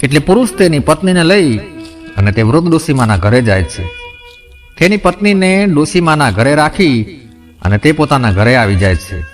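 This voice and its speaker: A man's voice: fairly high pitch, big pitch swings, moderate pace, soft background music. Man telling a folk tale. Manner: addresses listeners directly, voices quoted characters in his own voice. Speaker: storyteller. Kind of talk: narrating a moral folk tale.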